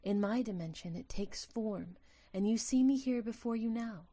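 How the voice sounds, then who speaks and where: Woman acting a tiny bright-voiced female sprite. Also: a little high, normal speed, close to an everyday voice. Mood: wistful, solemn. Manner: formal, solemn phrasing. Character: tiny delicate winged female sprite, earnest and tender. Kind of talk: audio drama acting.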